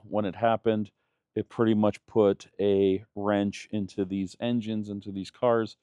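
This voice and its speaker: A man's voice: fairly low pitch, warm tone, smooth, dry recording, lo-fi sound. A man in his thirties, raised in Germany, talking casually in a vlog with many fillers and restarts. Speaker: channel host.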